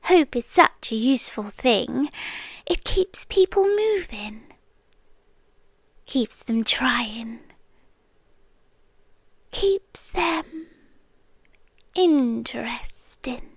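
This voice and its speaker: A woman acts out a British siren queen, an obsessively loving female monster in an ASMR roleplay. Her voice is high and extremely unhurried, soft and teasing, slipping into whispers. She sounds sweetly menacing and possessive, recorded close and dry. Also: expressive, slightly rough, no echo, heavily acted. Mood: smug, possessive.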